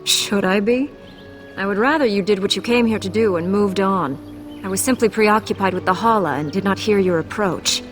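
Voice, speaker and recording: An actress plays a female elf herder from a fantasy game, guarded and easily startled. She speaks in formal, clipped phrases at a middle pitch, with clear music playing behind her. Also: moderate pace, lightly acted. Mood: curt, guarded. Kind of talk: fantasy video game acting. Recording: game audio with music underneath.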